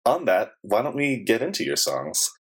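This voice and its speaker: A man hosting a music podcast, reading a scripted intro and then asking his guest questions in a friendly, measured way. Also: medium pitch, wildly swooping pitch, gravelly, husky, dry recording. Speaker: podcast host.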